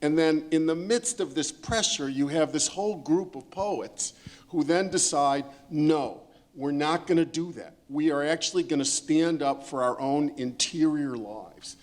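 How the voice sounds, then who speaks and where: Emphatic, higher-pitched man on a panel. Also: measured pace, a little mellow. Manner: emphatic, repeats phrases for effect. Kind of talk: impassioned explanatory panel talk.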